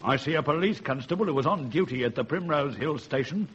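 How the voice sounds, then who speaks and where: An actor playing a menacing, sardonic ex-convict killer in a radio drama, measured and mock-courteous. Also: male voice, fairly high pitch, lively intonation, a bit bright, highs cut off, slight background noise. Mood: mock-courteous threat.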